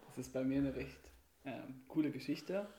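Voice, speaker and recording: A man's voice: medium pitch, dark tone. A man in his mid-twenties chatting casually as a podcast guest in a kitchen studio. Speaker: podcast guest, student teacher. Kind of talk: casual chat. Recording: echoey room, faint noise.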